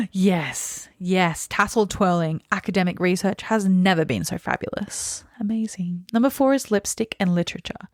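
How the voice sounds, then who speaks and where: A woman's voice: medium pitch, lively intonation, moderate pace, a little mellow, no echo, studio quality. A woman co-hosting a podcast in casual book chat, blunt and opinionated, peppering her talk with "like". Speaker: podcast co-host.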